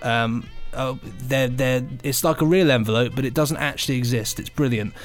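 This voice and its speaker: A man's voice: medium pitch, moderate pace, faint music behind. A British man co-hosting a podcast in casual, rambling banter, chatty, jokey and self-mocking. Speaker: podcast co-host.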